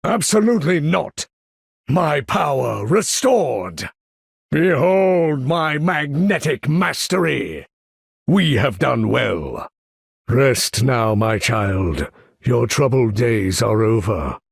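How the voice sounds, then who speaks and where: A male actor playing a proud, imperious older supervillain commander in a video game, with a gravelly, husky voice and grandiose, menacing, formal delivery. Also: medium pitch, animated, takes it slowly, no echo, studio quality, heavily acted. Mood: commanding, then solemn assurance. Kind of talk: theatrical video game character voice lines.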